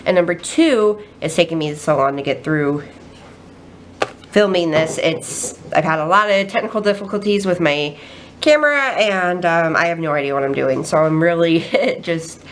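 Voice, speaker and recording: Woman, very low voice, nasal and stuffed up, rambling casual chat, faint hiss behind. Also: fairly bright tone. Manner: rambling with many ums and self-corrections. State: stuffy nose from a cold. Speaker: craft vlogger. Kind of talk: casual flip-through chat.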